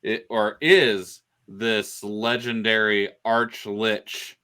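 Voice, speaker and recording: A man explaining things casually on a podcast, in a halting way with pauses mid-phrase. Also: on the low side, flat delivery, bright, forceful tone, clear, resonant tone. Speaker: podcast panelist.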